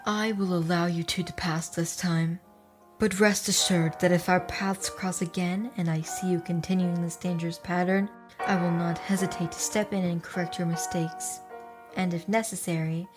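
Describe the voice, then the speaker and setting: Woman as a low, stern, menacing wanderer. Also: fairly even intonation, music in the background, natural voice. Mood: threatening, severe. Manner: formal, measured, severe. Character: stern, menacing, aloof multiverse wanderer, coldly judging. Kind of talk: audio drama acting.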